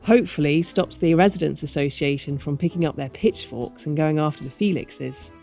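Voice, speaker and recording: Actress as officious female manager for radio play: mellow mid voice, defensive, businesslike, faint music behind. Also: low-pitched, a little fast. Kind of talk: scripted audio drama.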